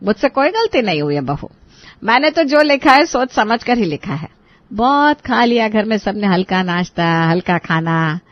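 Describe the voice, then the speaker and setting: A woman's voice: fairly high pitch, a bit bright, dry recording, close to an everyday voice. A woman playing a bossy, stern older Indian mother-in-law in a cartoon family drama, scolding and giving emphatic orders.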